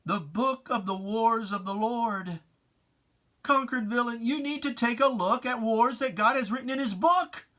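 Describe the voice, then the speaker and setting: Slow, dramatic, solemn poetry recitation. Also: mid-range voice, a bit bright. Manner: solemn, theatrical, declamatory, with weighty pauses. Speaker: reader of poetry. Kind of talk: dramatic recitation of religious rhyming poem.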